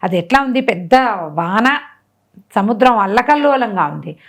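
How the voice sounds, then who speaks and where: A woman's voice: mid-range voice, normal speed. A woman tells a folk tale conversationally, asking rhetorical questions and acting out the dialogue. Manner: animated retelling with rhetorical questions and acted-out dialogue. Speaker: storyteller.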